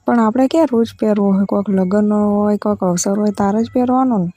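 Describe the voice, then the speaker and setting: A woman's voice: medium pitch, a little fast, warm tone, dry recording, faint music behind, natural voice. A woman with a Gujarati accent playing a demanding village in-law who wheedles insistently in a casual phone conversation. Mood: sulky, demanding.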